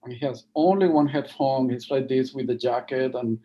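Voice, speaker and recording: A man with a strong Venezuelan Spanish accent talks over a video call. His voice is warm and dark, and he speaks haltingly, piling up fillers and restarts as he tells a story. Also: medium pitch, muffled recording. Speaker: guest, NGO project leader. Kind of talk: livestream interview, storytelling.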